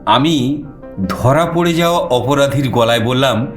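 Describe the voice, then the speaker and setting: A man narrating a suspense story in the first person, theatrical, with dramatic pauses. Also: medium pitch. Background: music.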